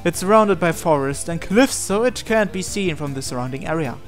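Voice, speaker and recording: Higher-voiced man narrating over music. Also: animated, brisk. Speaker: gamer reading a visual novel aloud. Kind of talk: narrated let's play, reading aloud with asides. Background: game music.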